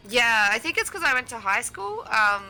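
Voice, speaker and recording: A young woman with an Australian accent chats playfully as a stream co-caster, often laughing and teasing. Her voice is very bright and fairly high. Faint music plays underneath. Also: very animated, normal speed, rough recording. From England. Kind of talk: casual game commentary chat.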